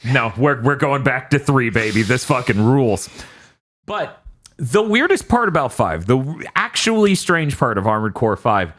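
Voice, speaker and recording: A man co-hosting a casual gaming podcast, animated and swearing as he tells stories. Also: medium pitch, a little slow. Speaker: podcast co-host.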